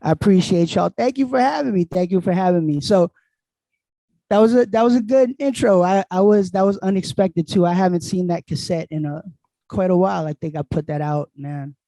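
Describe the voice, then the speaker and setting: A man's voice: high-pitched, gravelly, warm tone, no echo. A man from Queens, a guest DJ chatting casually and laughing easily on a podcast, talking into a handheld mic. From Queens, New York. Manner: laid-back, laughs often, drawn-out explanations. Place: small bright room at home. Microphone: handheld dynamic mic held close.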